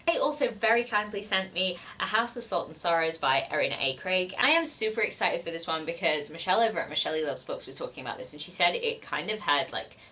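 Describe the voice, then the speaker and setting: A woman's voice: medium pitch, sharp tone, distant mic, a little hiss or hum. A woman with a cold-stuffed nasal voice, chatting casually in a book vlog, rambling and self-deprecating with plenty of fillers. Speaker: book vlogger.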